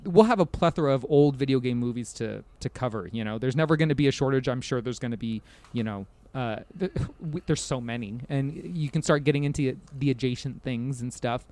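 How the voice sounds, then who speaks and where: A man's voice: mid-range voice, fairly quick, hardly any pauses, a little mellow, no echo. A man chatting casually as a podcast guest, rambling and self-deprecating, often hedging and chuckling as he talks.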